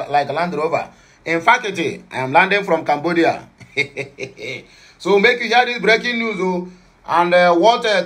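Nigerian-accented male news host, high bright voice, chatty and playful, switching into Pidgin and Igbo. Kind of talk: casual news commentary. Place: home studio.

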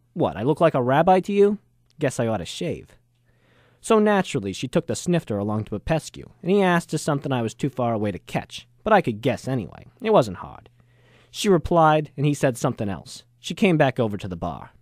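A male actor as a hard-boiled American reporter in his thirties, with a very animated delivery and wisecracking slang. He narrates a noir radio drama in a dry, close recording. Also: medium pitch, fairly quick, no echo. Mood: musing. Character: wry, hard-boiled American ex-journalist of thirty-two in 1939.

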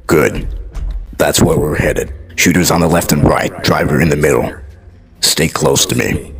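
Man acting as stoic super-soldier commander, extremely low pitch, gravelly fry, clipped military orders, sci-fi film dub over music. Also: a little slow, exaggerated voice. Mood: stoic, commanding. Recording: loud music behind the voice.

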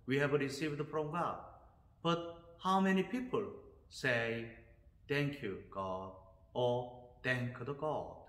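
A middle-aged priest with a Korean accent gives a slow, earnest homily, pausing on fillers as he explains the gospel reading. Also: a little high. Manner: slow, earnest preaching with hesitant fillers and sweeping hand gestures. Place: small church chapel with brick wall, indoors. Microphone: fixed camera at desk, seated. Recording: echoey chapel room.

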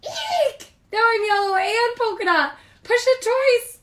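A woman voicing an excitable, cheeky and bossy monster from a children's picture book, with an exclamatory delivery. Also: extremely high pitch, takes it slowly, pitched above the performer's own voice, full character voice. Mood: excited, squealing. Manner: exclamatory, squealing, conspiratorial. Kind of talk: animated children's picture-book read-aloud.

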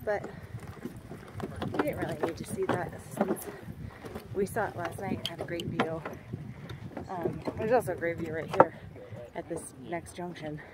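A woman's voice: on the low side, unhurried, long pauses. Young woman chatting along a trail, camera at arm's length, noisy outdoor audio. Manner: chatty, pointing things out, filler-heavy. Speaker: vlogger hiking on her honeymoon. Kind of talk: casual trail narration. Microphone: camera held at arm's length.

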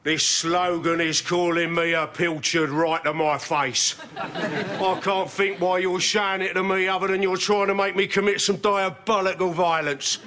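An actor playing a blustering, threatening client with a British accent in a sitcom. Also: male voice, mid-range voice, lively intonation, moderate pace, sharp tone. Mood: menacing indignation. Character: menacing, absurdly violent client proud of his cheese. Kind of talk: sitcom acting.

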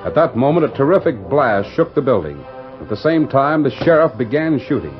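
An actor narrating a western serial as a dramatic storyteller in old-time radio style. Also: male voice, medium pitch, very animated, fairly quick, close to an everyday voice. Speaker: announcer-narrator. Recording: dull vintage radio recording. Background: music.

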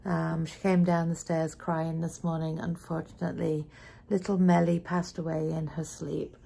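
A woman's voice: low-pitched, flat delivery, moderate pace, creaky, dark tone, slight background noise. A middle-aged woman chatting casually to viewers in a rambling way, recorded at home in a living room on a camera at arm's length. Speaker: vlogger.

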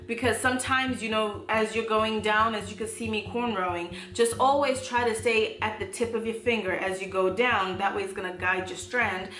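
A young woman talks through a hair tutorial in a casual, upbeat way. Her voice carries some bedroom echo. Soft music plays quietly underneath. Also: medium pitch. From Zimbabwe. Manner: chatty, upbeat, playful asides. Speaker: natural hair vlogger. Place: bedroom, indoors.

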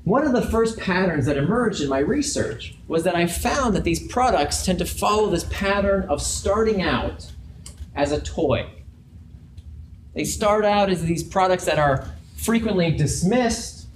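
A man with a fairly high voice speaks to a seated crowd, talking easily and tossing questions out to the audience. He is recorded from across a roomy, echoey space with steady noise in the background. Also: moderate pace. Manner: conversational, asks the audience questions. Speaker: guest speaker. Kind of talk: conference talk to a live audience. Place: large open office event space with audience.

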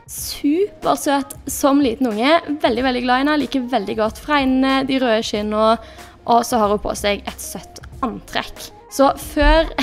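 A young woman with a slightly high voice chats through a gameplay session, thinking aloud as she makes her choices. She speaks into a desk mic in her bedroom, with soft music playing underneath. The delivery is relaxed and conversational. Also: vocal fry. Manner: chatty, thinking aloud while clicking through options. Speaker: gaming YouTuber. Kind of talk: casual gameplay commentary.

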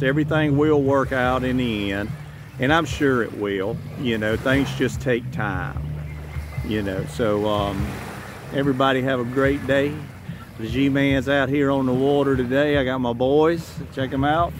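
A man in his fifties with a Southern US accent, vlogging to a phone on a beach in a blunt, profane, rambling way, full of "you know"s. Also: mid-range voice. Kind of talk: casual vlog monologue. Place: outdoors on a tropical beach. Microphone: phone camera at arm's length. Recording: outdoor phone audio with clear ambient noise.

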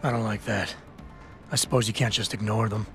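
Security chief, male: lowish voice, guarded, deadpan, clipped game dialogue with music underneath. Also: brisk. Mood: guarded, dry. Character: guarded, terse security chief, a man in his thirties. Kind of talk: video game cutscene acting.

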